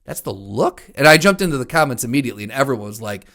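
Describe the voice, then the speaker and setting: A man co-hosting a podcast in casual movie chat, venting with profanity and exasperation, often repeating himself for emphasis in a halting way. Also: medium pitch, sing-song, fairly bright tone. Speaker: podcast co-host.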